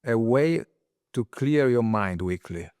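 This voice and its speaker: Eager male interviewer, praises freely, restarts his sentences; crisp voice, dry close recording. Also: mid-range voice, lively intonation, takes it slowly, no echo. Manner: enthusiastic, warm praise, stumbling restarts. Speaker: interviewer host. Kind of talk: casual interview conversation.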